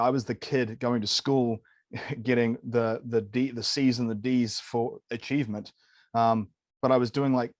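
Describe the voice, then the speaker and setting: A man's voice: mid-range voice, a little mellow, no echo. A man in his late thirties from New Zealand with a New Zealand accent, a nutritionist guest telling his story in a candid, enthusiastic way, often saying "man" and "dude". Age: 30s. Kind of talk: casual interview storytelling.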